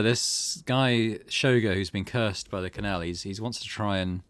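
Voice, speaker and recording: A man's voice: lowish voice, no room sound. A man hosting a let's play, chatting casually, thinking aloud and reading game text out as he goes. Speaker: gaming channel host. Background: game music.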